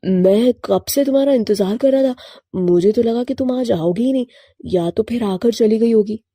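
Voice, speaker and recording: A woman voicing a lovestruck young male crow in an earnest, pleading way for an animated story. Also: a little high, normal speed, no room sound, clean studio sound.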